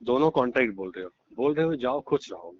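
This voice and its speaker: Animated man arguing on a lo-fi call. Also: mid-range voice, fast talker. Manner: defensive, repeats his phrases. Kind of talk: relationship argument on a phone call. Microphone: recorded phone call. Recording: dull lo-fi call, faint noise, clicks.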